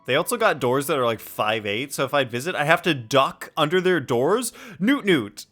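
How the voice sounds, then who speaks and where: A man's voice: fairly high pitch, lively intonation, moderate pace, fairly bright tone, faint music behind. A young man streaming a game, chatting casually and playfully with viewers and joking as he reacts. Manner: playful, jokey, reads chat aloud.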